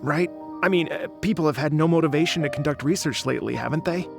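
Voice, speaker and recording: Youngish slacker scholar, highish gravelly edge, chatty n nervous, anime game dialogue. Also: male voice, quick pace, soft background music. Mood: evasive. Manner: hesitant, casual, rambling. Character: young male academy researcher, nervous, lazy, chatty.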